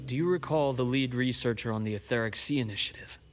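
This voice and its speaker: An actor playing a composed, analytical male investigator in a video game, speaking in measured, deliberate sentences. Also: medium pitch, music in the background, close to an everyday voice. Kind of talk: scripted video game dialogue.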